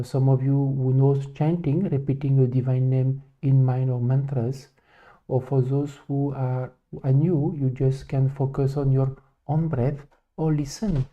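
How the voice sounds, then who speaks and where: He is a French spiritual teacher welcoming viewers to a live stream. His voice is strikingly dark and soft-edged, carried by halting, simply phrased English with a French accent. The audio is somewhat muffled and lo-fi. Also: medium pitch. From France. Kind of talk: informal introduction to a live question session.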